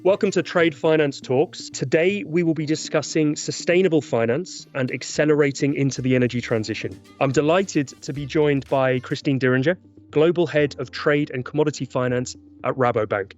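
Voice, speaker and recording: A man's voice: fairly high pitch, moderate pace, dull audio, faint music behind. A middle-aged man, polite and professional, interviewing a guest as a podcast host.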